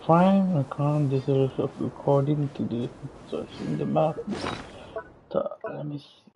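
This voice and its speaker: A man's voice: fairly high pitch, unhurried, vocal fry. A man giving casual gameplay commentary, muttering to himself as he plays. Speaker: gamer. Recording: dull, noisy mic with clicks.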